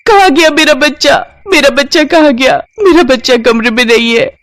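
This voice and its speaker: A woman voicing a panicked young mother searching for her missing child, her cries shrill and frantic. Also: normal speed, a little hiss or hum, close to an everyday voice. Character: panicked young mother, the landlord's daughter-in-law.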